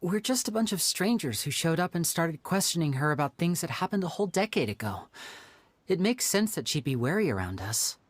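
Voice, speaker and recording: A woman playing a polite young stage magician and schemer in a video game, measured, courteous and persuasive. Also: on the low side, sing-song, no room sound. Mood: measured, planning. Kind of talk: English game voice acting.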